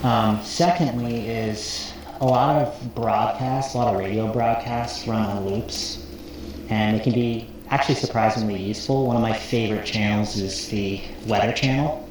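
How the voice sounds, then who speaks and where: A man's voice: fairly low pitch, fairly even intonation, moderate pace, close to an everyday voice. A man playing a weary lone survivalist, talking casually and hesitantly in an understated found-footage horror video log. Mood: tired, musing. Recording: echoey, very noisy found-footage audio.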